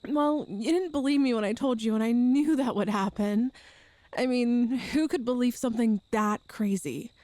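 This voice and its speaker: A woman's voice: on the high side, no echo, natural voice. A woman playing an affectionate, playful girlfriend from a ghost family in an ASMR audio roleplay, chatty and tender. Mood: grateful, tender. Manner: chatty and tender, with soft laughs and sighs.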